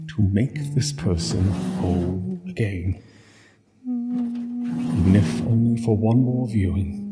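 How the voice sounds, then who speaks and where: A man narrating a literary story solemnly, in measured short phrases with dramatic pauses. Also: lowish voice, noisy background. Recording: long reverb, music bed. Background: music.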